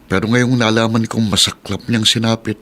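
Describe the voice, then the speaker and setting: An actor playing a sincere male employee, earnest, measured and respectful, in a radio drama. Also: on the low side, steady tone, fairly bright tone, natural voice. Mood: hesitant. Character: sincere male employee, once resentful, now forgiving. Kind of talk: Tagalog radio drama acting. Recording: radio drama with music bed.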